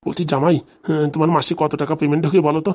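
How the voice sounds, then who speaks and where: Man playing high, rapid, fawning cartoon father-in-law. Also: steady tone, a little mellow, lightly acted. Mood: fawning, chatty. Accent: rural Bengali. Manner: effusive, wheedling, rambling. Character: gluttonous older village father-in-law, fawning and comically sentimental. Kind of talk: comedy cartoon dialogue.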